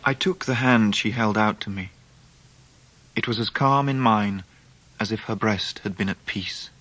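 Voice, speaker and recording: Dry-recorded English gentleman narrator. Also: on the low side, dry recording. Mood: reflective. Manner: measured, literary storytelling. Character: grown gentleman narrator recalling his own Victorian life. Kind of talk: scripted first-person radio drama narration.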